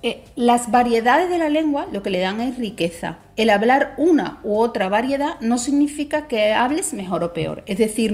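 Woman calmly explaining, steady measured voice. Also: medium pitch. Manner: measured, explanatory, with tag questions. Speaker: language expert guest. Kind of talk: conversational explanation on a talk show.

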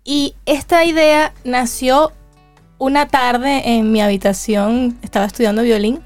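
A woman with a Venezuelan accent answering as an interview guest, telling her story conversationally with reflective pauses. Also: on the high side, fairly even intonation, soft background music. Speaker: interview guest, singer and violinist.

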